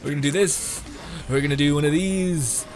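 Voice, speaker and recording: A man's voice: fairly high pitch, normal speed. Rambling young man, casual game streamer. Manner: rambling, self-interrupting, swears casually. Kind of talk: casual gameplay commentary. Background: game music.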